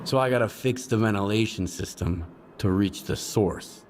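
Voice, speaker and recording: A man's voice: fairly low pitch, moderate pace, a little mellow, slight background noise, natural voice. A man voicing a terse male stalker in a video game, asking blunt questions.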